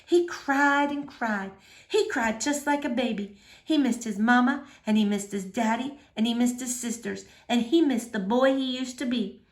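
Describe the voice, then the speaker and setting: A woman reading a children's book aloud as scripted, expressive narration. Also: fairly high pitch, normal speed. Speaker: storybook reader.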